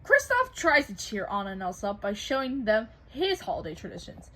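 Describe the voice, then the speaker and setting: A woman reading a children's storybook aloud in an expressive narrating style. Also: medium pitch, a little fast. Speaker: co-host reading a picture book aloud.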